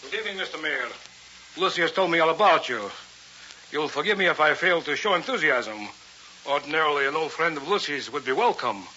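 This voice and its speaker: Haughty physicist husband, very forceful tone, precise, dismissive, old radio drama, dull hissy recording. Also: male voice, a little high, lively intonation, brisk. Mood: cold, disdainful. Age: 40s. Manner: formal, pompous, dismissive, precise. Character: cold, saturnine physicist husband in his forties. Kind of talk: vintage radio drama acting. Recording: muffled vintage radio recording with hiss.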